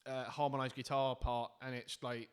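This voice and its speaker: A man's voice: medium pitch, flat delivery, normal speed, clean tone. Rambling man in a casual album chat. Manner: rambling, hesitant, many restarts and fillers. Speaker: podcast co-host. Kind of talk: casual round-table album review.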